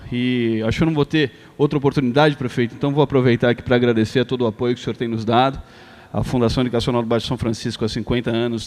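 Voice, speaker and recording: A man from São Paulo with a São Paulo accent, giving an earnest ceremonial speech as a foundation president and often pausing on fillers. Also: mid-range voice, a little mellow. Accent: São Paulo Portuguese. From São Paulo, Brazil. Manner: earnest, with frequent filler hesitations. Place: theater stage at a live event.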